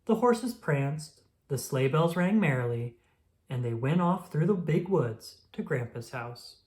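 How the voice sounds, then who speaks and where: Storybook narrator, slow gentle delivery, mid-range voice, read-aloud for children. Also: medium pitch, expressive. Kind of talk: reading a children's picture book aloud.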